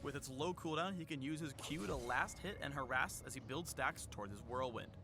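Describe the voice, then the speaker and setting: A man's voice: mid-range voice, brisk, over music. A man reading scripted explainer narration as the presenter of a game character spotlight.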